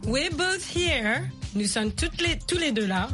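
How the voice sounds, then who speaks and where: A woman radio host teaching English, switching between French and English and repeating phrases slowly for learners. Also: high-pitched, animated, fairly bright tone, faint music behind. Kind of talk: bilingual radio English lesson.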